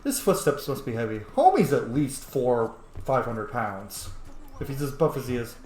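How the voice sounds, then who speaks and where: A man casually commenting while playing a game, jokey and excitable. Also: medium pitch, moderate pace, roomy sound, a little hiss or hum. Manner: excitable, jokey, bursts of shouting. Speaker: let's-play host.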